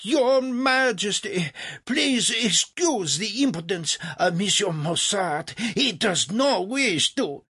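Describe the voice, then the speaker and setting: A man's voice: high-pitched, lively intonation, unhurried, vocal fry, a bit bright, dry recording, clean studio sound, voice pushed up, exaggerated voice. A man doing a stammering, fawning courtier. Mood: panicked pleading. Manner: stammering, obsequious. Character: fawning, nervous male court official.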